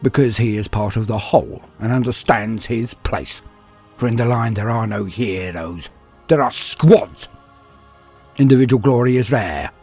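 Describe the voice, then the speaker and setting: A man giving a solemn, theatrical, deliberate narration of a scripted war story. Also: on the low side, a little mellow, husky, soft background music. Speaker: narrator of fan fiction war tales.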